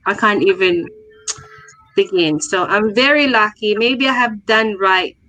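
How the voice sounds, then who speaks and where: A woman gives a personal testimonial in a casual, chatty way, full of fillers. Her voice is dry and close, with no room sound, at a mid-range pitch. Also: bright, forceful tone, dry recording, poor audio. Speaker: guest sharing her story.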